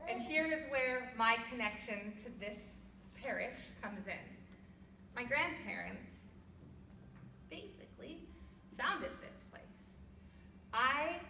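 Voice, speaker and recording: A young woman from California with a loud voice, giving a personal talk to an audience in a church hall without a microphone. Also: fairly high pitch, a little hiss or hum. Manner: loud, laughing, self-deprecating. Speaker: guest speaker. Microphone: no microphone, far from recorder. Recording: echoey hall from far off.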